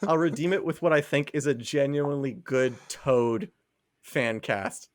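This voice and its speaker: A man's voice: mid-range voice, a little slow. A young man co-hosting a podcast in casual chat, rambling and laughing at his own ideas. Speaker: podcast co-host.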